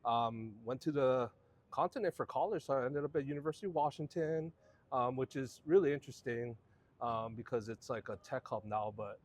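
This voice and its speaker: Relaxed man telling his story. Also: mid-range voice, fairly quick. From Hawaii. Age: 40s. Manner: relaxed, rambling storytelling with many fillers and restarts. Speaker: guest. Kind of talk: casual conversational interview.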